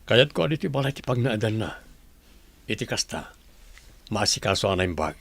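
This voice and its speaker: A man playing an earnest, devoted husband who longs for his family in a radio drama, with a husky voice and emotional delivery. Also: fairly low pitch, big pitch swings, normal speed, no room sound, heavily acted. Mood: hopeful, determined.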